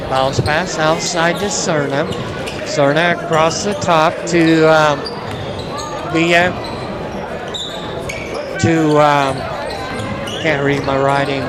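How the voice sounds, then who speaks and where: Slow folksy older man calling basketball. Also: a little high. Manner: folksy, rambling asides between plays. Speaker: play-by-play announcer. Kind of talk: local high school basketball commentary. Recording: loud gym crowd behind.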